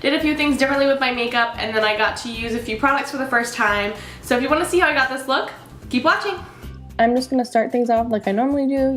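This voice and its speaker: A young woman with a nasal voice from a cold, chatting upbeat through a makeup tutorial as a beauty vlogger. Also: a little high.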